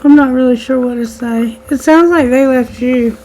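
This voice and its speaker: A woman's voice: sits high, normal speed, dark tone, a little hiss or hum, rough recording. A woman giving a tarot reading on her channel, whose voice is strained and fading. She speaks straight to her listeners as a collective, using spiritual wording and prayer. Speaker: tarot reader.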